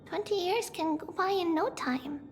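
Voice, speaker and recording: A woman playing a small, gentle, childlike demigod girl in a video game, speaking in a reflective, unhurried way as if older than she looks. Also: extremely high pitch. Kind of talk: scripted video game character acting. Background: background music.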